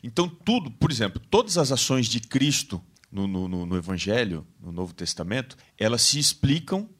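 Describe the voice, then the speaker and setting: A man with a Brazilian Portuguese accent, co-hosting a Bible lesson program and explaining theology in a measured, reflective way. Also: medium pitch, sing-song, a bit bright, husky. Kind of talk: Bible study discussion.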